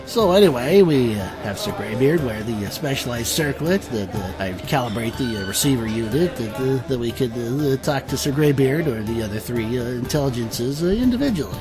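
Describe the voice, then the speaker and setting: An actor playing a self-satisfied eccentric inventor in a fantasy audio drama, halting and rambling with constant stammered uhs. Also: male voice, medium pitch, lively intonation, music in the background, lightly acted. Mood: digressive, smug.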